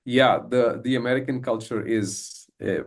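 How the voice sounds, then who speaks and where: A man's voice: mid-range voice, moderate pace. A man hosting a podcast interview in a casual, affirming way, with many hesitant fillers. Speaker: podcast host.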